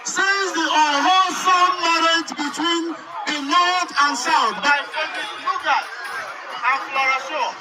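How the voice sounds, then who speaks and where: A man's voice: very high voice, slow pace, over loud music, low-quality recording. A man from southeastern Nigeria, giving an impassioned protest speech at an outdoor street rally. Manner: impassioned, shouting slogans. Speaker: rally speaker. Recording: loud crowd noise outdoors. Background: loud crowd and street noise.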